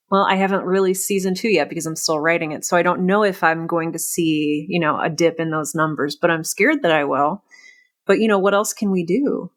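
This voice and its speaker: A woman with a slightly low-pitched voice hosts a relaxed podcast interview about creative work. She speaks reflectively, working through her thoughts in broken phrases as she goes. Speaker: podcast host and audio drama creator. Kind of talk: casual interview conversation.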